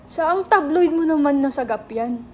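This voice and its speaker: A woman's voice: sits high, animated, normal speed, noisy background. A woman with a Filipino accent acting a brash, sarcastic, money-minded young entrepreneur in a dramatic reading, mixing English into Tagalog. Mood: incredulous. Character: brash, money-minded male website entrepreneur, teasing his friend. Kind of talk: dramatic reading of a stage script.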